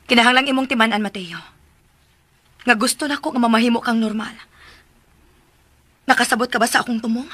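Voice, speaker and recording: An actress playing a firm, earnest young mother in a radio drama, speaking in a deliberate, admonishing way. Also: a little high, bright, forceful tone, no room sound. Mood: stern, pleading.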